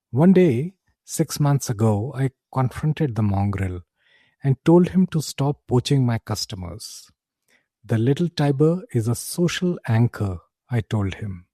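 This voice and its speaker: Male storyteller for a fantasy audio drama, theatrical and measured, soft-edged tone, very dry recording. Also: mid-range voice, no echo. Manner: measured, theatrical, deliberate phrasing. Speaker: narrator, in character as a tavern keeper.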